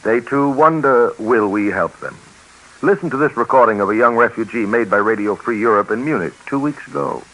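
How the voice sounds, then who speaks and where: An American man narrating a 1950s radio documentary drama in an earnest, measured, rhetorical manner. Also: mid-range voice, a bit bright, poor audio. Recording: vintage radio recording, music.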